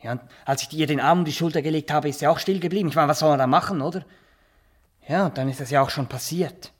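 An actor playing a nervous, boastful Swiss small-town barber in a radio drama, rambling, halting and always justifying himself. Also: male voice, fairly high pitch, lightly acted. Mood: defensive, smug. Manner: rambling, halting, repeats himself, keeps adding tag questions. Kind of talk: naturalistic radio drama acting.

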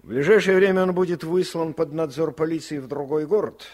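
A man's voice: fairly high pitch, fairly even intonation, a little fast, darkish tone, close to an everyday voice. A man playing a cold, formal, clipped police colonel in a Soviet radio play. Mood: subdued, grateful.

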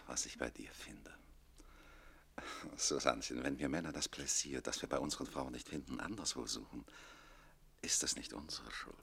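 Very deep, gravelly seductive count. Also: male voice, bright, forceful tone, exaggerated voice. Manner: seductive, murmuring, suave. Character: smooth-talking philandering count, amorous and self-satisfied. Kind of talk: classic radio play dialogue.

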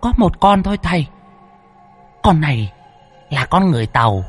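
A man doing a shrill possessed medium scolding. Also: sing-song, normal speed, slightly rough, faint music behind. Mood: accusing. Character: possessed male spirit medium channeling a scolding aunt spirit.

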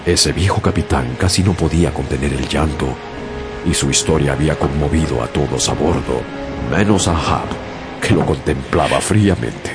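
A man narrating a whaling voyage as a sailor in a radio drama, with a deep, gravelly voice. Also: normal speed. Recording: radio drama with music bed.

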